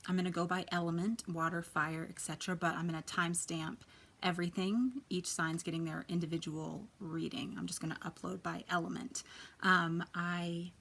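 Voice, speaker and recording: A woman in her thirties with a quiet, soft voice, chatting casually as she gives a tarot reading. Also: on the low side. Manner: chatty, lots of ums and likes. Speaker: tarot reader.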